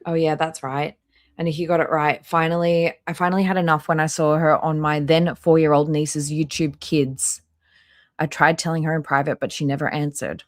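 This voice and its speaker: A woman with a low-pitched voice hosts a casual commentary stream. She talks through the details and reads out messages from chat. Her manner is disapproving, with a dry, sarcastic edge. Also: little pitch movement, normal speed.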